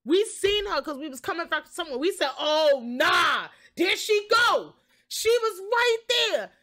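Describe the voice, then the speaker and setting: A young woman telling a story casually on a livestream, expressive, slangy and sweary. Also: extremely high pitch, a little slow. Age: young adult. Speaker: game streamer.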